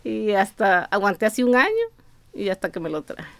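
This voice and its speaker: A woman's voice: mid-range voice, big pitch swings, moderate pace, vocal fry, fairly bright tone, no echo. A middle-aged woman with a Nicaraguan accent giving an informal personal account in an interview. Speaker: migrant interviewee. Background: music.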